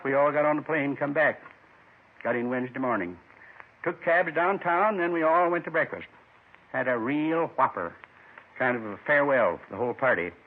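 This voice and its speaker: An actor playing a lonely, gentle man in his seventies, folksy and wistful, confessing in short rambling phrases in 1950s radio drama style. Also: a little high. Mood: proudly nostalgic. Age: 70s. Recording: muffled 1950s radio recording.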